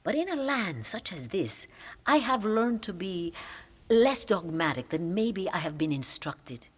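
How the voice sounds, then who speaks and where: Husky Mexican Mother Superior. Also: mid-range voice, sing-song. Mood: grave, devout. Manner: formal, measured, pious. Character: stern, devout Mexican Mother Superior, gravely measured. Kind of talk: video game cutscene acting.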